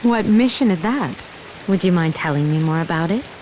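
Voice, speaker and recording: An actress playing a composed, shrewd warrior woman in a video game, measured and politely probing. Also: fairly low pitch, expressive, darkish tone, a little hiss or hum, lightly acted, rough recording. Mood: knowing, teasing. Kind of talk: dubbed video game cutscene acting.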